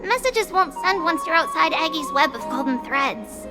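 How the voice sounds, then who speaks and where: A woman's voice: high-pitched, expressive, moderate pace. A woman voicing a tiny, cheerful childlike girl priest in a video game, bubbly, exclamatory, helpful and proud. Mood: helpful, chatty. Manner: bubbly, exclamatory, speaks of herself as "we". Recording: music behind the voice.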